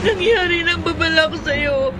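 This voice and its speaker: A panicked accomplice in a horror radio play. Her voice is extremely high. She pleads hysterically, in a melodramatic, shrieking manner. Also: moderate pace, loud music behind the voice, a loud crowd. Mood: hysterical alarm. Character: terrified pleading woman, criminal's hysterical girlfriend.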